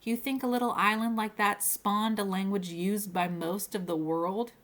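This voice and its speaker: A woman reads the part of a calm male storybook companion. He reasons mildly and speaks matter-of-factly. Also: mid-range voice, normal speed, natural voice. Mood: mildly questioning.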